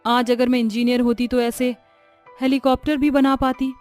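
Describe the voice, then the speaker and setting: Brief: actress as a young wife in a cartoon story, fairly high voice, faint music. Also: female voice, flat delivery, normal speed, darkish tone, lightly acted. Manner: earnest, thinking aloud. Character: young village wife, engineer, later a witch.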